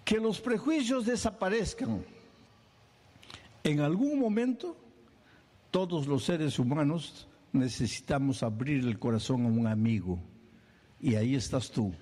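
A man preaching a sermon, animated, acting out little scenes and firing off questions. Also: fairly high pitch, measured pace, long pauses.

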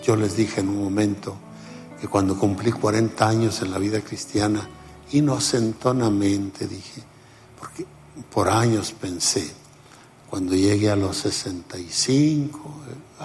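A man in his seventies preaching a sermon, unhurried and emphatic, sometimes laughing at himself. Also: fairly low pitch, gravelly, a little mellow, long reverb tail. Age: 70s.

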